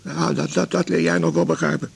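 An extremely creaky, husky man's voice, fairly high, a groggy, sick patient. He stammers and grumbles stubbornly, in the style of an eighties radio play. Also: little pitch movement, a little fast, full character voice. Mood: groggy, grumbling. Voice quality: very hoarse, gravelly. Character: slurring, stubborn, sick male patient after swallowing pills. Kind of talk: 1980s Dutch radio drama acting.

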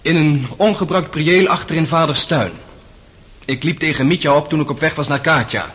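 An earnest young novice played by a man in a radio drama. His voice sits a little high for a man. He speaks in a gentle, sober and sincere way, measuring his words. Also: brisk, close to an everyday voice. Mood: grave testimony. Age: young adult.

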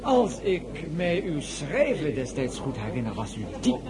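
A man's voice: mid-range voice, wildly swooping pitch, measured pace. An actor playing a pompous, declamatory male head of government in a radio drama. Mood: suave, probing. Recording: murmur of a salon crowd behind.

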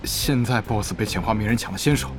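A man's voice: on the low side, brisk, natural voice. A man playing an earnest, harried guild leader in a Chinese audio drama, polite and often flustered. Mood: concerned. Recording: music behind the voice.

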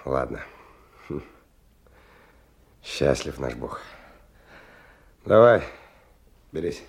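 An actor playing a weary, rough stalker who recounts a dangerous expedition in halting, hushed, intense phrases in a radio play. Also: male voice, rumbling low, very animated, slight background noise, full character voice. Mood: uneasy, urgent. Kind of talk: dramatic first-person radio play narration. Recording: 1990 radio play with music underneath.

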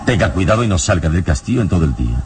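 A man acting a calm, commanding adventure hero in a radio serial, speaking in a solemn, measured way. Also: low voice, noisy background. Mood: cautious, grave.

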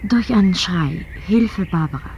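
A woman playing a fragile, hesitant young woman in a science-fiction radio play, softly spoken. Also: fairly low pitch, animated, unhurried, highs cut off. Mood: troubled. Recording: slightly noisy 1970s radio recording.

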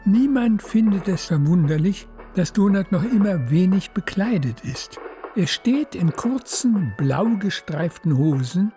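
A woman's voice: on the low side, expressive, a little slow, mellow, faint music behind. A woman narrating a radio play in scripted literary prose.

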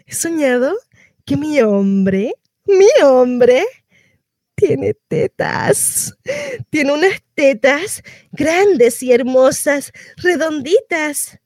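A woman's voice: high voice, animated, very slow, a little mellow, dry recording, studio quality, heavily acted. An actress with a Chilean accent playing a frantic, sardonic pregnant woman in an exclamatory, melodramatic theatrical monologue. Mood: wry, confiding. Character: frantic, sardonic pregnant woman going through labour and motherhood.

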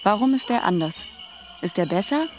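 A woman's voice: medium pitch, animated, normal speed, lightly acted. An intense, distrustful young woman in love played by an actress in a radio drama. Her voice is mid-range and insistent, with faint noise and crickets behind it. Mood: wary, doubting. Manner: insistent, questioning.

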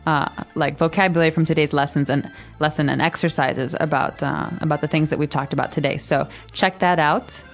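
A woman's voice: deep voice, fairly even intonation, a little fast, few pauses, soft background music. A woman hosting a language lesson in casual, playful chat, teasing her co-host.

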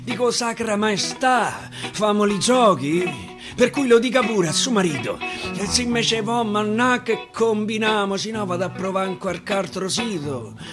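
A man's voice: sits high, animated, a little slow, pitched above the performer's own voice. A man with a Roman accent voicing a stubborn, impatient explorer in a recited dialect poem, blunt and exasperated, over a guitar on a radio broadcast. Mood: indignant. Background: guitar music.

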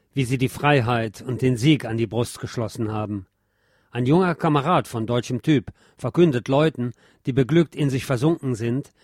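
Man, deep voice, formal literary narration, measured delivery, dry close recording. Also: darkish tone, no room sound. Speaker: host reading essays on history. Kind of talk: scripted narration, reading aloud an essay.

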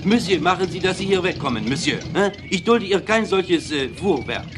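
A man's voice: high voice, expressive, normal speed, background noise. A man playing a stubborn, grumpy innkeeper in an audio drama, curt and agitated. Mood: indignant, stubborn.

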